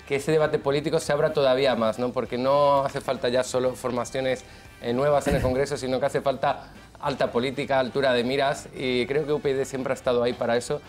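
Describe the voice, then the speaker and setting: A man from Brazil, long settled in Spain, speaking as a political guest on a TV panel. His delivery is earnest and steady, and he clears his throat now and then. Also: mid-range voice. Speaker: party leader, panel guest. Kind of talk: televised panel talk. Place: TV studio.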